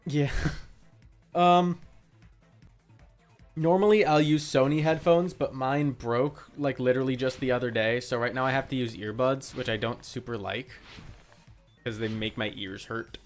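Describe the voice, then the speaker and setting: A man's voice: medium pitch, fairly even intonation, measured pace, long pauses. A man chatting casually and playfully on a game livestream, with some swearing. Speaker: game streamer. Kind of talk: casual joking livestream chat. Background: video game music.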